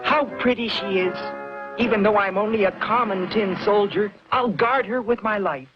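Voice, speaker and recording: A man playing a dutiful little tin soldier in an old storybook cartoon, very high and polite in a proper, stiff-upper-lip way. The sound is dull and lo-fi, with loud music behind. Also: normal speed, natural voice. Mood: devoted. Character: brave, dutiful male tin soldier toy.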